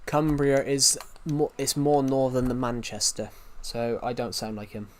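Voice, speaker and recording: A man's voice: mid-range voice, little pitch movement, a little slow. A British man with a West Country accent, a streamer chatting casually with his viewers. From Great Britain. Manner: rambling, restarts and repeats phrases. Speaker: game streamer.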